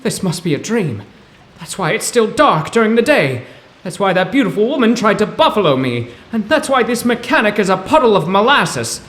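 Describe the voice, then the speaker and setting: An actor playing a bewildered, bookish poetry professor in a 1930s-style radio drama, with mannered old-fashioned phrasing. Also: male voice, high-pitched, very animated, normal speed, a bit bright, reverberant. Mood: horrified disbelief.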